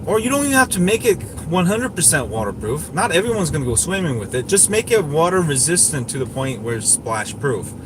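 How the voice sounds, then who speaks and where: Rambling man over clear background noise. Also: a little high, big pitch swings, fairly quick, few pauses. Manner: rambling, opinionated, repeats himself. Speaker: tech livestream host. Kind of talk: casual livestream chat, answering viewers.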